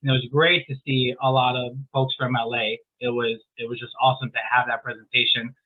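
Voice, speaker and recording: A man from San Diego speaks over a video call that sounds thin and phone-like. His pitch stays flat as he goes through his update. He often stops with ums and starts sentences over. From San Diego, California. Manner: halting, many ums and restarts. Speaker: veterans legal services coordinator. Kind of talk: online community meeting update. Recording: tinny phone-like call audio.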